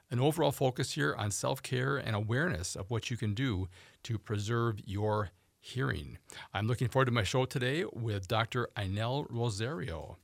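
Gravelly-voiced man hosting a radio show. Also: medium pitch, no echo. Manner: reads show introductions and phone numbers in a measured, announcer-like way. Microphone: studio microphone.

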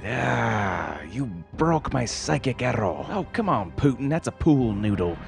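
A man playing an excitable, petulant nerdy roleplayer bickering in a comedy sketch, with music behind. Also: medium pitch, measured pace, vocal fry, pitched above the performer's own voice.

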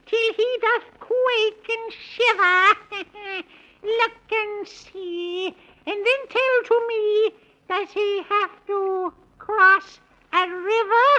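A woman's voice: very high-pitched, steady tone, extremely slow, bright, forceful tone, muffled recording, exaggerated voice. A woman playing a wicked, gloating old witch who chants in rhyme, in a 1930s children's radio serial. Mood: gloating menace. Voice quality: cackling laugh. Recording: band-limited vintage 1930s radio recording.

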